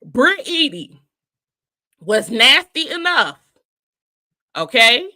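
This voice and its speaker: Bright-voiced emphatic woman in her thirties. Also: sits high, sing-song, drawn-out words. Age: 30s. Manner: emphatic, repeats points, addresses viewers directly. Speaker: reality TV reviewer. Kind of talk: casual commentary to viewers.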